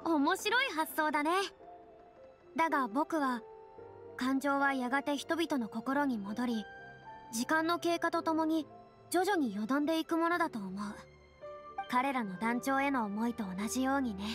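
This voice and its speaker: Anime game stage diva: high, self-assured, theatrical, boyish lofty phrasing, music behind. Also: female voice, animated, normal speed, fairly bright tone. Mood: musing, lofty. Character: theatrical, self-assured young female former stage star. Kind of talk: Japanese anime game voice acting.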